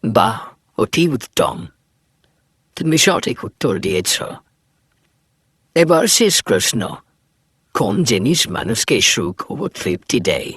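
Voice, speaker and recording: A man voicing a stern old sage who tests a young woman, with a husky, commanding voice. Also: on the low side, very animated, takes it slowly, pauses often, a bit bright, no echo, studio quality, exaggerated voice. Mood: solemn. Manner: commanding, testing. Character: stern old male sage, enchanted prince in disguise.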